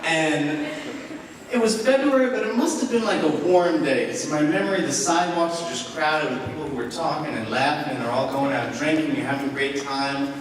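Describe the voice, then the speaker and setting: A man's voice: on the high side, brisk, crowd noise. A young man telling a wry, self-deprecating personal story live on stage to an audience. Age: young adult. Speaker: storyteller, author. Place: large indoor event space with seated audience. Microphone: stage microphone on stand, picked up from afar. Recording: roomy echoing hall, distant pickup, audience noise. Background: audience ambience and noise.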